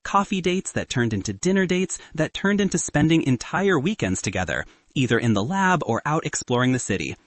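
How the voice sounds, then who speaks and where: A husky man reads a romance story aloud in audiobook style, his pitch moving lively up and down. The sound is dry and close with no room echo. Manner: expressive storytelling. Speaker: narrator. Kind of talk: audiobook narration.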